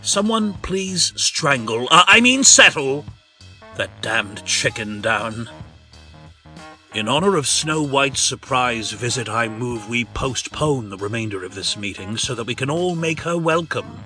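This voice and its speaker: A man's voice: mid-range voice, lively intonation, unhurried, bright, forceful tone, faint music behind. A man voicing a pompous, scheming pig who speaks like a grand orator. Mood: blustering.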